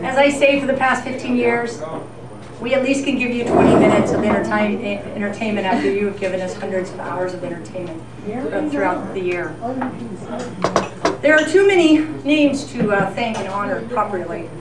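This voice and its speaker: A woman's voice: medium pitch, normal speed, a loud crowd. A woman giving an informal thank-you speech as the director at a community event. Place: large indoor room with an audience, voice from far off. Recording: echoey crowded hall, distant mic.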